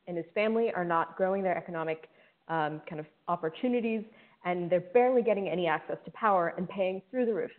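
A woman engineer presenting in an earnest, conversational way over a video call. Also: medium pitch. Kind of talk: webinar presentation. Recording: tinny call audio.

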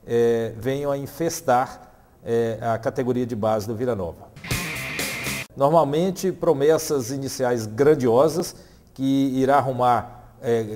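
Man, 40s, formal, hesitant fillers, mellow dark tone, reverberant office, lapel mic. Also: mid-range voice. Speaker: club legal representative. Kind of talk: interview statement. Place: office in front of a red wall.

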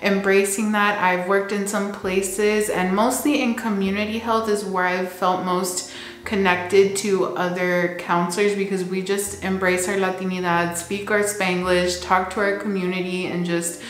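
A woman's voice: mid-range voice, little pitch movement, unhurried, roomy sound. A woman in her twenties, a counselor from the Texas border region, talking candidly to the camera from a room at home and slipping in Spanish words. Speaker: licensed counselor. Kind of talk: casual talk to camera. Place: indoor home room with plants and shelves.

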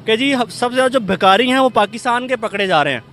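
A man's voice: very high voice, expressive, fast talker, fairly bright tone. A man giving his view in an outdoor street interview. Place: open street at night. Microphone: handheld. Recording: outdoor street, traffic and sirens.

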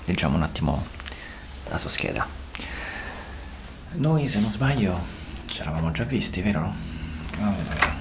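Low, creaky whispering male doctor, acted by a man. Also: background noise, exaggerated voice. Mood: murmuring, professional. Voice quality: breathy, often whispered. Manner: slow, hesitant, murmuring with hums. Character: sly, unflappable male gynecologist examining a patient. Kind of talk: soft-spoken ASMR roleplay.

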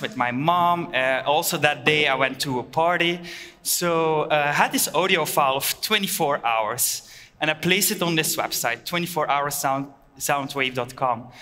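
A man gives a conference keynote on a headset mic. He speaks plainly and haltingly, with many filler uhs. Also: mid-range voice, bright, forceful tone. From Belgium. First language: Dutch. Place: large hall stage. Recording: long reverb of a large hall.

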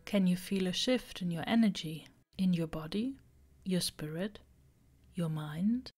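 A woman narrates a guided meditation in a fairly low voice. She gives her instructions slowly and deliberately, one short phrase at a time. Relaxing music plays clearly underneath her. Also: darkish tone. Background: relaxing background music.

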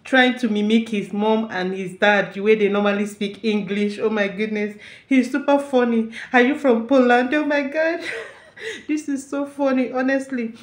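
A woman's voice: on the high side, fairly even intonation, normal speed. A woman hosting a reaction vlog from a room at home, speaking effusively into an earphone mic. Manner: effusive, exclaiming. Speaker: reaction video host. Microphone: wired earphone mic. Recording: echoey room, comedy clip playing behind.

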